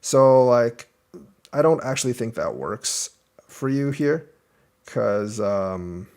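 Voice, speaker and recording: A man's voice: mid-range voice, sing-song, slow, darkish tone, clear, resonant tone. Easygoing man talking through a board game. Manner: thinks aloud, explaining moves step by step. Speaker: Go teacher reviewing a game. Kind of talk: casual game review commentary.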